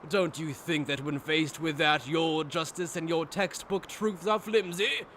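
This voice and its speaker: Higher-voiced taunting male villain acted by a man. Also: fairly even intonation, unhurried, a bit bright, a little hiss or hum. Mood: mocking. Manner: taunting, theatrical. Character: mocking, haughty male villain, sly and taunting. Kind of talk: anime fan dub acting.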